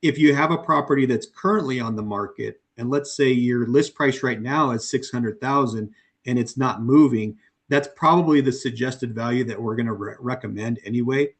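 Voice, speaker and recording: A man presenting a webinar as a company co-founder, walking through a software demo at an easy, unhurried clip. He keeps it conversational and fills the gaps with ums and you-knows. His voice has worn a little hoarse from talking so long. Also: mid-range voice. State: voice going hoarse. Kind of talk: casual walkthrough of a software demo.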